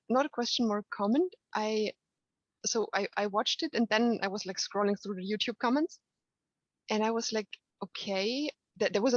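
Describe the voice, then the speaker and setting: A woman fan on a video call sharing a slow, earnest comment, stammering and giggling a little. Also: medium pitch, no echo, lo-fi sound. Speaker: fan asking a question.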